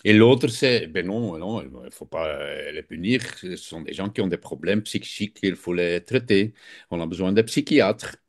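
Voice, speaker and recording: A man's voice: deep voice, animated, normal speed. A man with a Flemish accent, a native Dutch speaker, explaining his field as an interview guest while often searching for words. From Belgium. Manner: hesitant, searching for words, many fillers. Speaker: guest expert.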